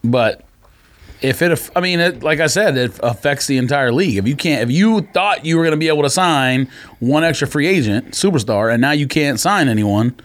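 A man's voice: fairly high pitch, animated, brisk, a bit bright. A man co-hosting a podcast with casual sports analysis, explaining his argument point by point. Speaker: podcast co-host.

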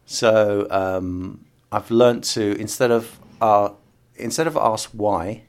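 A man's voice: lowish voice, takes it slowly, a little mellow. A middle-aged man from the UK, a podcast guest talking casually with many fillers and restarts. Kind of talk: casual interview conversation.

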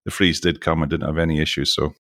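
Deep-voiced Scottish man, dry close sound. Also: level tone, fast talker, a bit bright, no echo. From Scotland. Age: middle-aged. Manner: chatty and jokey, with frequent restarts. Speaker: podcast guest, oil industry executive. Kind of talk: casual interview chat.

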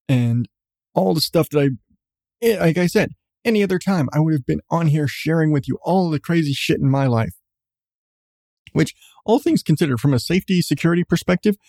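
A middle-aged man hosting his podcast with casual, profane rambling and frequent laughs in a calm voice. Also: a little high, dry recording, studio quality. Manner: profane, candid, laughs often. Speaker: podcast host. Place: seated at home on a creaky chair.

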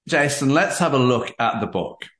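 A man's voice: mid-range voice, lively intonation, a bit bright. Effusive, swooping male podcast host, roomy sound. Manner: effusive, theatrical, deliberate phrasing. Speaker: podcast host interviewing an author. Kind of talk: book show interview presenting.

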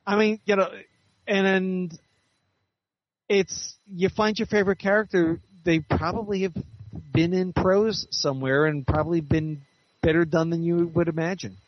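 A man's voice: sits high, fairly even intonation, moderate pace, slight background noise. A man speaking as an interview guest, an author talking in a thoughtful, opinionated, conversational way. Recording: dull lo-fi recording with music behind.

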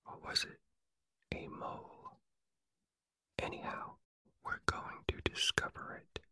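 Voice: slow, sharp tone, no echo. A storyteller voicing a naive, eager, muddled male toy bear from a children's storybook in a whisper. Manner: whispered, simple, wondering.

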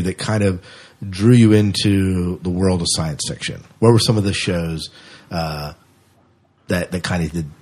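A man with a very low, somewhat husky voice hosts a casual interview. He is encouraging and jokey, feeling his way into each question in an informal style. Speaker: podcast host, interviewer.

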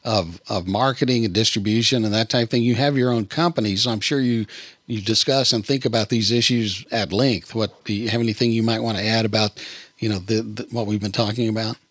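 A man's voice: lowish voice, steady tone, brisk, fairly bright tone, breathy edge, no room sound. Man interviewing, close dry sound. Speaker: podcast host interviewer. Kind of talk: conversational interview.

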